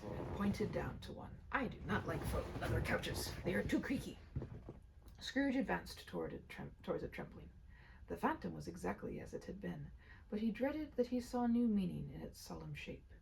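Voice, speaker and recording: A woman reading a story aloud with an expressive, theatrical and emphatic delivery. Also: medium pitch, vocal fry, slight background noise, rough recording. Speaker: reader.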